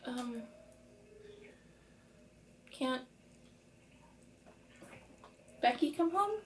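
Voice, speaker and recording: Woman playing an indignant, high-voiced teenage girl. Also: lively intonation, drawn-out words, slight background noise, full character voice. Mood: evasive. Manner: protesting, incredulous, blurting. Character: indignant, sarcastic teenage girl, evasive daughter.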